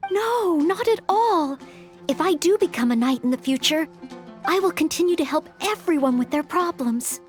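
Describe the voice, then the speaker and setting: A woman's voice: very high voice, lively intonation, moderate pace, soft background music. A woman voicing an eager, modest young maid in a video game, speaking politely and earnestly. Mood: flustered, earnest. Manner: polite, earnest, self-effacing. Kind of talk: scripted video game voice acting.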